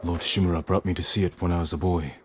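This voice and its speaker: A low-pitched samurai acted by a man speaks tersely, with calm resolve and honor. It is dramatic game cutscene acting, measured and firm, over faint rain noise. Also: lightly acted. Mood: calm, reflective. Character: stoic, honorable male samurai warrior, calmly resolute.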